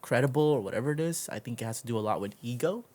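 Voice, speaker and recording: A man's voice: medium pitch, animated, rapid-fire, darkish tone. A man hosting a casual conversational interview, speaking informally with slang and loose swearing. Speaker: interview show host.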